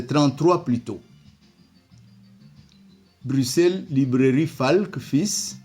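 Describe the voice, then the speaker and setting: A man's voice: medium pitch, a little mellow, breathy edge. A man, not a native French speaker, giving commentary and reading from an old document, hesitant with many repeated words. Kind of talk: slow spoken commentary reading from a book.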